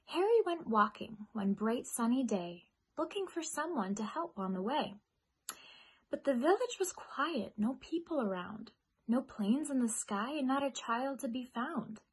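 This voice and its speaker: A young woman with an American accent speaks in a friendly, storytelling way. Her voice sits a little high and has a husky, breathy edge. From United States. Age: young adult. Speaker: singer-songwriter reading a children's story. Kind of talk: friendly address and storybook reading.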